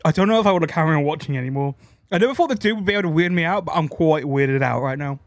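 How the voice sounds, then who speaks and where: A man's voice: on the high side, expressive, very fast, no room sound. Young man reacting, close dry sound. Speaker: reaction video host. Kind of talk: casual reaction commentary.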